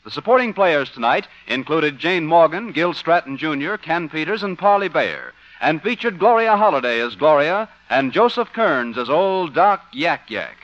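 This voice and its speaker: A man's voice: a little high, big pitch swings, moderate pace, few pauses, fairly bright tone. An American man announcing in the scripted style of 1950s network radio. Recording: dull old-time radio recording. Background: music.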